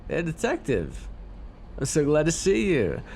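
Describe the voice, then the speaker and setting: A man's voice: on the high side, wildly swooping pitch, a little slow, creaky, darkish tone, slight background noise, heavily acted. A man playing a cocky, teasing mafia boss with a theatrical, flirty drawl in an ASMR roleplay. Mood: flirtatious, teasing.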